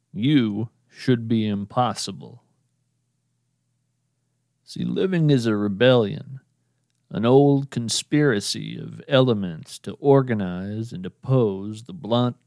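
Slow, earnest male podcast narrator. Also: mid-range voice, vocal fry, darkish tone, dry recording, studio quality. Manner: slow, measured, earnest address to the listener. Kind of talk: scripted reflective narration.

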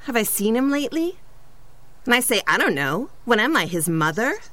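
A woman's voice: a little high, very animated, moderate pace, fairly bright tone. A woman playing an offhand, exasperated and slangy character chatting in a comedic audio drama mock interview. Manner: slangy, casual, eye-rolling. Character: offhand, exasperated chatty female acquaintance of a superhero.

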